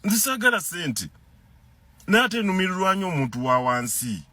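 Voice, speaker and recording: A man's voice: high-pitched, normal speed, dry recording. A man with a Ugandan accent delivering an impassioned political rant, repeating and tripping over words as he gets worked up. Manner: animated, repeats words and stumbles when heated. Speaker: concerned citizen commentator.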